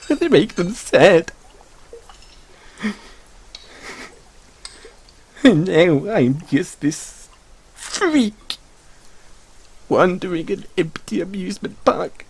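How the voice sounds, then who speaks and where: A man voicing a bitter, tormented frog mascot in an audio roleplay, with a halting, tearful, self-pitying delivery. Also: sits high, darkish tone, breathy edge, heavily acted. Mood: despairing. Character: weepy, bitter, tormented frog mascot haunting an abandoned amusement park. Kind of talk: audio roleplay monologue with mournful sung counting rhyme.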